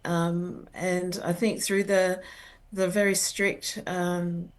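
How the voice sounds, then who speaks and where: An older woman from New Zealand, an educator and researcher, answering interview questions in a halting, earnest way. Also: on the low side, flat delivery. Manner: halting, many fillers and restarts, earnest.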